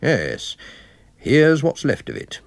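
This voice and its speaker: A man's voice: mid-range voice, wildly swooping pitch, slow, creaky, dry recording, voice pushed up, exaggerated voice. A man playing a methodical police inspector who sets out facts in a matter-of-fact, explanatory way in a radio mystery. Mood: brisk.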